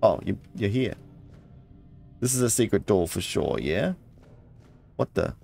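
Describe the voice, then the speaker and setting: A man's voice: lowish voice, very animated, measured pace, vocal fry, a little mellow, faint music behind. A man giving casual let's play commentary, muttering his thoughts aloud and reading out item text.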